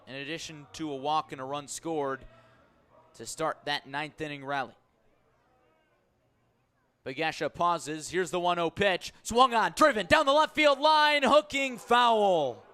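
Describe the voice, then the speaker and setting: A man calling a baseball game live on radio as play-by-play announcer, measured between pitches and lifting his voice on the action. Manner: measured narration rising to excited shouts on key plays. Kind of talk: live sports commentary.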